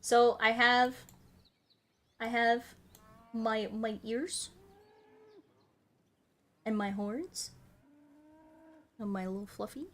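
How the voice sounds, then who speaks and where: A woman's voice: a little high, extremely slow, long pauses. A woman livestreamer chatting casually and playfully with viewers, rambling along and often repeating short phrases. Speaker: VTuber streamer chatting with viewers. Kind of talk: casual livestream chat over a game. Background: music.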